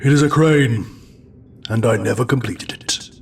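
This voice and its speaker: A man playing an ancient, stern and weary wizard in an audio drama, speaking solemnly and deliberately. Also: mid-range voice, gravelly, recorded from a distance, lightly acted. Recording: off-mic with faint noise.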